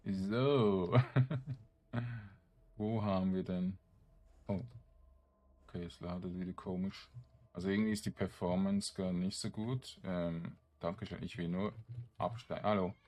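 Male gamer, low-pitched and unhurried, casual let's-play commentary in short fragments. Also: fairly even intonation, a little mellow.

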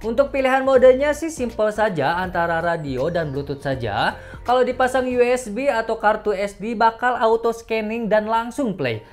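A man's voice: high-pitched, lively intonation, normal speed. Enthusiastic man reviewing a gadget over music. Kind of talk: product review narration, casual. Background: background music.

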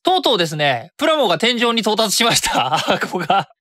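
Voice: mid-range voice, big pitch swings, fairly quick, sharp tone, no room sound, studio quality. A radio host chatting casually and solo about a hobby, thinking aloud and self-correcting along the way.

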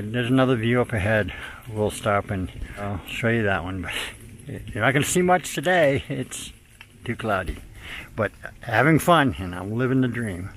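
An older man casually narrating his hike on a snowy forest trail, talking into a handheld camera with relaxed, rambling pauses. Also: medium pitch, slight background noise. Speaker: hiker vlogging a trail. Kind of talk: casual narration while hiking. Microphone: handheld camera at arm's length.

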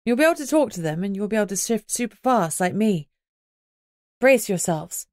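A woman voicing a brisk, resolute female alpha werewolf in an audiobook, firm and instructing. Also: medium pitch, expressive, darkish tone, no room sound, clean studio sound, natural voice. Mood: commanding.

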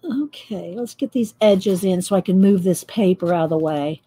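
A woman, chatting loudly while she paints and tells stories on her coloring livestream, laughing often and answering viewers. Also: fairly low pitch, normal speed, husky. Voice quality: loud voice. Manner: chatty storyteller, laughs often, reads live chat. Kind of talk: casual chat while painting. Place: home craft table, indoors.

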